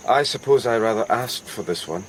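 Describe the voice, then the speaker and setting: An actor playing a wounded foreign army officer in a radio drama, measured and courteous, with violence underneath. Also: male voice, lowish voice, lively intonation, a little hiss or hum, lightly acted, low-quality recording. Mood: courteous, guarded. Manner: measured, polite, guarded.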